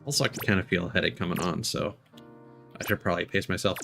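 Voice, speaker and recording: A man giving casual live game stream commentary in a rambling, halting, mildly griping way. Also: low-pitched, creaky, bright, forceful tone, soft background music.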